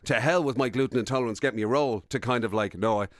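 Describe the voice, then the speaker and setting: A man's voice: mid-range voice, fast, no echo, studio quality. An Irish man co-hosting radio with casual, teasing banter and mock-probing questions. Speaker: radio co-host.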